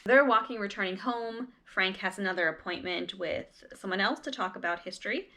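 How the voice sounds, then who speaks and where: A woman's voice: mid-range voice, moderate pace, sharp tone. A woman casually recapping and reading along with a book, talking in a conversational way with wry asides. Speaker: read-along host.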